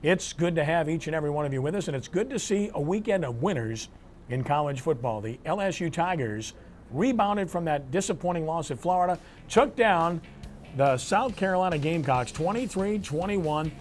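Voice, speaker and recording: A man's voice: a little high, lively intonation, moderate pace, soft background music. A man hosting a sports talk show, delivering commentary and discussion like an anchor. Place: on location at a restaurant.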